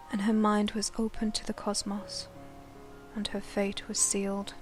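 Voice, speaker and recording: A woman reading scripted dramatic lore narration in a solemn storytelling manner. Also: mid-range voice. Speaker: narrator of a game lore news chronicle. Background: background music.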